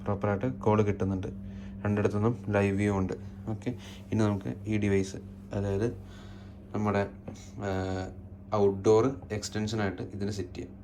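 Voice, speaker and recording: A man's voice: lowish voice, level tone, brisk, pauses often, background noise. A man with a Malayali accent giving an unscripted, matter-of-fact technical walkthrough, one step at a time. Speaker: tutorial presenter, installer.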